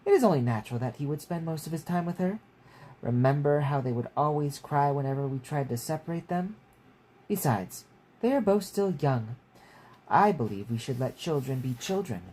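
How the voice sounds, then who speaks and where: A man reading a story aloud as a gentle, protective mother, with a soothing, reasoning manner. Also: a little high, expressive, moderate pace, rough recording. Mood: gently pleading. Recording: clear background noise.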